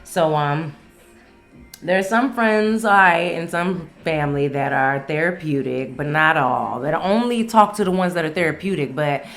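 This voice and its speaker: Low-pitched woman, candid podcast chat. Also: moderate pace, a bit bright, soft background music. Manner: candid, playful, laughs often, swears freely. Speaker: podcast host. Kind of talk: casual chatty solo podcast talk.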